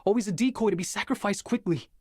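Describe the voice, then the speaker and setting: Young Spartan soldier: husky, earnest, driven, weighed down by guilt. Dry, close game dialogue. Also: male voice, sits high, fast, a little mellow, no room sound. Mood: somber, confessing. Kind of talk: dramatic video game acting.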